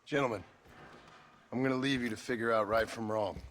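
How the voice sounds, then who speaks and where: An actor playing a weary, skeptical gunslinger in a video game, speaking in a laconic, dry, guarded way. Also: male voice, medium pitch, steady tone, a little fast, a bit bright, slight background noise, close to an everyday voice. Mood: weary, polite. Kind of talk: restrained video game acting.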